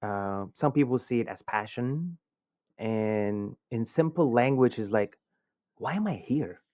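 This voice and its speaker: A man in his forties from Egypt, a podcast guest telling a personal story in an animated way, chuckling often. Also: medium pitch, a little slow, no room sound, studio quality. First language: Egyptian Arabic. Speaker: podcast guest, communication researcher. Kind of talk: conversational storytelling.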